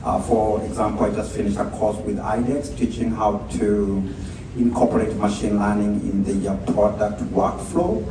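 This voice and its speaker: A man's voice: fairly low pitch, level tone, moderate pace. A middle-aged man with a Kenyan accent lays out numbers and ideas, checking in with a rhetorical "right?". His voice is distant and swims in a very echoey hall, with crowd noise underneath. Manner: explanatory, asks rhetorical "right?". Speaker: computer science professor. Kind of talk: informal talk at a networking event. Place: large indoor event space. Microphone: handheld microphone, heard from far off.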